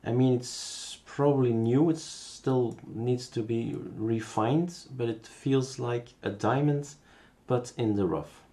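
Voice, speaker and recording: A man with a Belgian accent giving a casual, unscripted software tutorial, thinking aloud as he works. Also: mid-range voice, takes it slowly.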